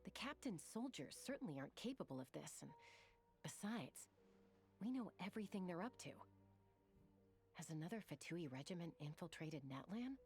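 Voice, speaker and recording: A woman playing a brisk, level-headed adult scout in a video game. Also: lowish voice, very animated, a little mellow, faint music behind. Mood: puzzled, serious.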